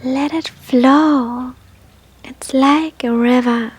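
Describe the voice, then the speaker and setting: Soft, breathy, high-voiced woman guiding meditation. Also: fairly even intonation. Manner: soft, breathy, unhurried with long pauses. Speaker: meditation guide. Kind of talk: guided meditation, gentle instructions.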